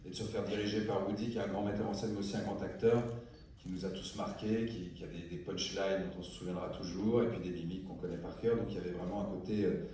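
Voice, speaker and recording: Fast-talking low-voiced male actor, echoey. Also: steady tone, warm tone, slight background noise. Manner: quick, enthusiastic. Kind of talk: speaking on stage. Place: large cinema hall. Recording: echoey hall, far from mic.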